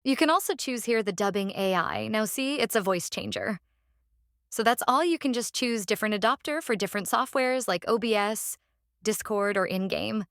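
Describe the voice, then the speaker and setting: A woman narrating a casual software tutorial, explaining step by step in a halting way and repeating phrases. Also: medium pitch, expressive, dry recording, studio quality. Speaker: tutorial presenter.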